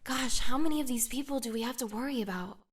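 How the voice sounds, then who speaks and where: A woman voicing an earnest young woman who speaks softly and hesitantly. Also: on the high side, fast talker, far from the mic, lightly acted. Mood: worried. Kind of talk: fan animation voice acting.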